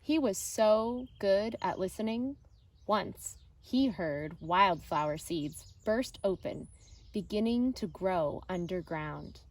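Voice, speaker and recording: A woman reading a children's picture book aloud like an elementary teacher, deliberate and expressive with pauses. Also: medium pitch.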